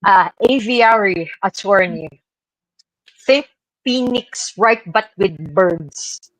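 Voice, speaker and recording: A woman's voice: medium pitch, slow pace, no echo, poor audio. A woman presenting games in an enthusiastic, casual chat. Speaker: gaming show presenter.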